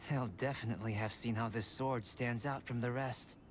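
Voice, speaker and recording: A man voicing a calm young male alchemist in a game cutscene, who speaks in a precise, formal and measured way. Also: mid-range voice, noisy background, natural voice. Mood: analytical. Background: game music.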